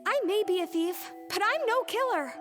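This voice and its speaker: A woman's voice: very high-pitched, animated, moderate pace. An actress playing a nervous young woman thief, earnestly confessing and pleading her innocence, over game music. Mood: anxious. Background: game score music.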